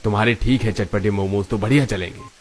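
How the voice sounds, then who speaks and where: A man's voice: on the low side, fairly quick, natural voice. A man voicing a kindly, chatty older railway station master in a cartoon story, with faint music behind. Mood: approving, chatty.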